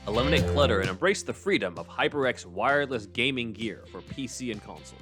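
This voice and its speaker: A man's voice: medium pitch, lively intonation, fairly quick, music in the background. A man hosting a podcast, speaking in an informal, explanatory way. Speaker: podcast host. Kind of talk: casual discussion and ad reads.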